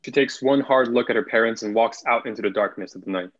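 A young man reading screenplay stage directions in a plain, measured way as narrator. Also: mid-range voice, close to an everyday voice. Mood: measured, neutral. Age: young adult. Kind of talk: scripted narration, table read. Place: small room at home, on video call. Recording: dull video call audio.

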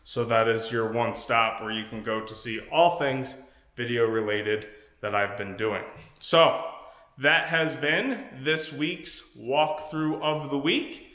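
American male realtor, 30s, halting off-the-cuff house tour delivery, bright forward voice, roomy echo. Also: mid-range voice. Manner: halting, repeats phrases as he explains. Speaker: real estate agent hosting walkthrough videos. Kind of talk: unscripted property walkthrough commentary to camera. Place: empty rooms of a large house, indoors. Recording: echoey bare rooms, music behind.

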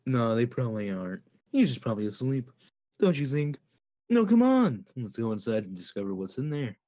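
A smug cartoon rabbit trickster acted by a man with a lively, bouncing delivery. He teases casually. The recording is dry and a little dull, like an amateur dub. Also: mid-range voice, brisk, no echo, natural voice. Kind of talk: cartoon fandub acting.

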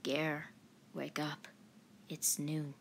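Woman as a soft, coaxing young boyfriend. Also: low-pitched, monotone, extremely slow, gravelly, pitched below the performer's own voice, exaggerated voice. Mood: soft, exasperated. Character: affectionate, patient boyfriend, a young athlete. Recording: slightly noisy home recording.

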